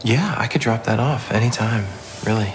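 A man playing a guilty, flustered ex-boyfriend in a naturalistic audio drama, halting and apologetic as he pleads. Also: mid-range voice, over music, natural voice, poor audio. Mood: awkward, nervous.

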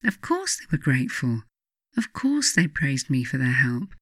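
A woman narrates in a reflective, scripted style suited to an audiobook or guided meditation. Her phrasing is measured and deliberate, broken by frequent pauses. The recording is dry, with little room sound around the voice. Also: a bass voice, sing-song, fairly bright tone, no room sound. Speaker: author reading own book, guided meditation narrator.

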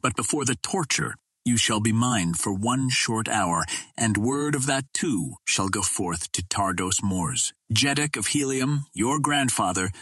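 A man's voice: fairly low pitch, animated, unhurried, fairly bright tone, husky, dry recording, full character voice. A man voicing a huge, cruel alien warlord in an audiobook, with pompous, menacing, formal speech. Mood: gloating menace. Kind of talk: audiobook character reading.

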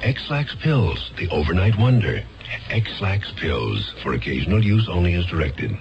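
A man's voice: low voice, sing-song, measured pace. A man reading a 1970s radio commercial as a professional announcer. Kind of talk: 1970s radio advertising pitch. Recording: dull 1970s radio recording with music.

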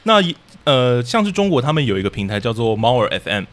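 A Taiwanese man speaks as a podcast guest in a medium-pitched voice. He explains at a very fast pace, casually and with careful qualifications. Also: very animated. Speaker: podcast guest, radio drama troupe leader.

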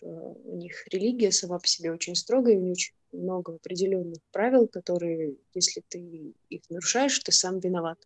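A woman from Irkutsk, a guest on a casual podcast, speaking in an outspoken, indignant way with hesitant pauses. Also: on the low side, no echo, poor audio. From Russia, Irkutsk.

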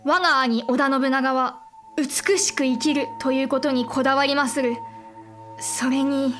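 A high, poised woman's voice as a shrewd young lady of a samurai house. She speaks in formal archaic phrasing, measured and sure of herself, in a period audio drama with faint music behind her. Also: fairly even intonation, a bit bright. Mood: composed, assured. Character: composed, shrewd young noblewoman of warring-states Japan.

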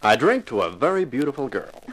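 A hard-boiled male private eye in a 1950s radio play. He is flippant and flirtatious, with a sardonic edge and lively swings in pitch over a medium-pitched voice. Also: a little fast, rough recording. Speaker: actor in a detective radio drama. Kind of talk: 1950s radio drama acting.

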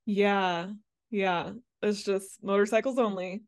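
A young woman talks in a light, playful, rambling way, full of little fillers. Her pitch sits in the middle, and the sound is close and dry, with no room around it. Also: fairly even intonation, takes it slowly, dry recording. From Netherlands, raised in Mississippi. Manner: light, playful, chatty with frequent fillers and laughs. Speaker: interview guest, motorcycle rider. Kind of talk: casual interview chat.

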